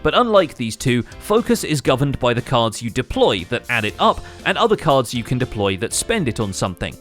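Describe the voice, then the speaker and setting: A man's voice: medium pitch, sing-song, brisk, fairly bright tone, faint music behind. A man hosting a gaming recap show, reading scripted narration in a wry manner.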